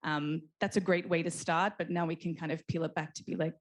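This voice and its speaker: A woman's voice: deep voice, steady tone, brisk. A woman speaking as a panelist, thoughtful and full of restarts and fillers. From Australia. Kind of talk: panel discussion.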